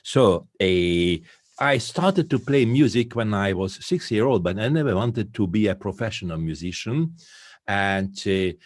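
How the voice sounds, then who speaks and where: Older Hungarian man, 70s, strong accent, halting careful English, low voice, dry video-call sound. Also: no echo. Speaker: guest folk musician. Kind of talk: interview over video call.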